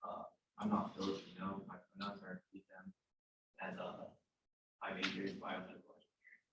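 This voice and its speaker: A man's voice: sits low, monotone, normal speed, gravelly, noisy background. A young male student introducing himself plainly and a little hesitantly. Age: young adult. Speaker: student participant. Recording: distant, dull, roomy mic.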